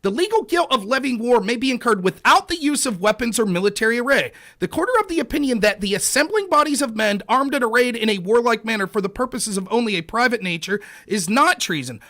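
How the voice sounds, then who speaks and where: A man with a very high, bright voice argues a point in a live debate. His pitch swings widely as he presses his case and reads long legal passages aloud in a deliberate, careful way. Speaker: show host. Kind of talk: heated online debate.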